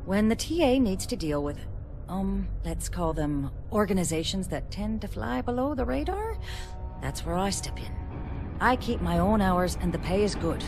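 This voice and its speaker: An actress playing a sly, brash fixer for a space trading company in a video game, with a British accent and a cheeky, blunt, knowing manner. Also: female voice, mid-range voice, animated, moderate pace, over music, lightly acted. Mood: sly, confiding.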